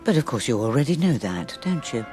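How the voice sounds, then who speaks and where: A woman's voice: extremely low pitch, very animated, fairly quick. A woman voicing a cold, cryptic seer in a game, speaking in an aloof, cutting way. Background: music.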